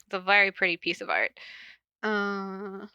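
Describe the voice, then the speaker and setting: A woman with a bright, forward voice and a close, dry sound. She talks informally as a podcast host, moving between casual story reading and chat, with a giggly, self-interrupting manner. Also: medium pitch, expressive, a little slow, clean tone, dry recording, muffled recording. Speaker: podcast host reading fan fiction aloud.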